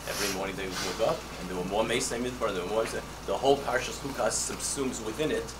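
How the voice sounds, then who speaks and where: A man's voice: fairly low pitch, fast talker, heavy background noise. A man in the class adding a comment, starting hesitantly and then retelling a teaching he heard. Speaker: student in the class. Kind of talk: audience comment.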